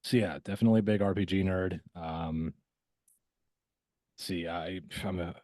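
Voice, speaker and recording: A man's voice: low voice, steady tone, moderate pace, darkish tone, no echo, studio quality. A man chatting casually as a podcast guest. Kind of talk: casual chat.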